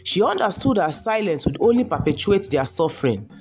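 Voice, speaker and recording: Low-voiced, expressive woman storyteller. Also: a little fast. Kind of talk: scripted folktale narration. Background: music behind the voice.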